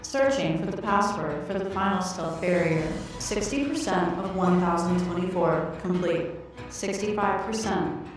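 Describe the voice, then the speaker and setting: An impassive female computer, voiced by a woman, heard from far off in heavy echo. It reads out status in a measured, low-pitched voice over faint music. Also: natural voice. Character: calm female computer system announcing progress. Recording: very echoey, distant sound.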